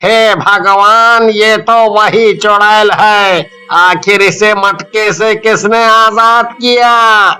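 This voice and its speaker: A man's voice: high voice, little pitch movement, very slow, few pauses, sharp tone, full character voice. A man voicing a frightened villager in a horror cartoon, anxious and exclaiming. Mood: alarmed.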